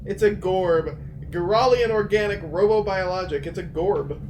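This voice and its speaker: A man's voice: high-pitched, moderate pace, background noise. A man playing a tabletop game, joking playfully. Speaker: player.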